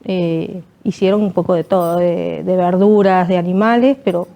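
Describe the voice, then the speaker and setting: A woman born in Peru, a guest author, telling her family history in a measured, hesitant way with many fillers. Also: on the low side, steady tone, creaky, mellow. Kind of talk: conversational storytelling.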